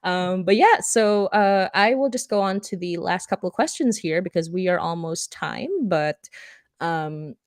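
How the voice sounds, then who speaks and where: A woman with a fairly low voice hosts a casual discussion. She talks in a chatty, anecdotal way, and the sound is very dry. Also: measured pace, no room sound. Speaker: podcast host and moderator. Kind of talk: casual chat.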